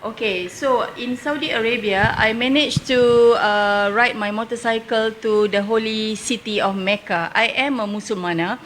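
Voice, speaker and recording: Higher-pitched Malaysian woman over background noise. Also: normal speed, few pauses, fairly bright tone. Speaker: interview guest, motorcycle world traveller. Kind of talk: unscripted interview answers, travel storytelling.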